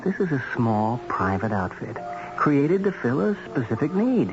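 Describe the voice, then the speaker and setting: A smooth, insinuating American man who recruits respectable killers in a 1970s radio drama. His pitch moves animatedly as he persuades in a matter-of-fact, hesitant way. The old broadcast is muffled, with music clearly behind him. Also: sing-song, normal speed. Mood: coolly persuasive. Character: smooth male trade editor recruiting a respectable contract killer.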